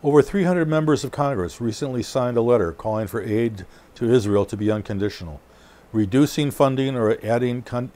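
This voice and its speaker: A man's voice: lowish voice, warm tone, close to an everyday voice. A man voicing a neutral, probing news interviewer, reading formal questions in a scripted re-enactment.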